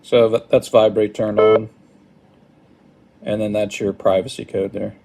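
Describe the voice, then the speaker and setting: Low-voiced man giving a halting tutorial. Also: level tone, vocal fry, very noisy. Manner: hesitant, halting, apologetic. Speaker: product demonstrator. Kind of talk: informal hands-on tutorial. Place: indoors at a wooden table.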